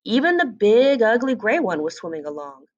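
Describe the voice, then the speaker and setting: Female storyteller for children's fairy tale, highly animated delivery, slightly muffled recording. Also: moderate pace. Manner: expressive. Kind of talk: scripted children's story narration.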